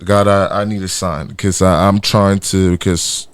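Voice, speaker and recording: A man's voice: low voice, little pitch movement, unhurried, smooth, dry recording. A Nigerian man with a Nigerian accent, talking casually as a guest musician and often restarting and repeating words. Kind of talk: casual interview chat. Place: radio studio.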